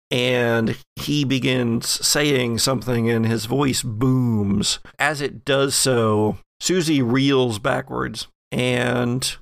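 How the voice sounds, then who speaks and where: A man acting as game master, narrating a horror-comedy tabletop game in a halting, deliberate way. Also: mid-range voice, creaky, no room sound.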